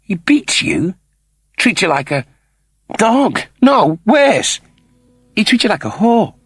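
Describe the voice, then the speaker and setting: A man's voice: sits high, big pitch swings, slow, slightly rough, dry recording, studio quality, soft background music, voice pushed up, exaggerated voice. A man voicing a laid-back, stubborn male prisoner in a sci-fi story, talking bluntly and casually with cheek. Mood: indignant.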